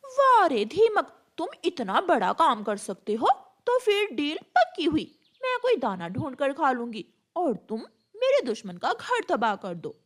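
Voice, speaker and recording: Woman as a high-pitched scheming cartoon crow. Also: wildly swooping pitch, a little slow, few pauses, exaggerated voice. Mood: vain, scheming. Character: vain scheming female crow, bossy, mean and mischievous. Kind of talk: Hindi storytelling cartoon voice acting.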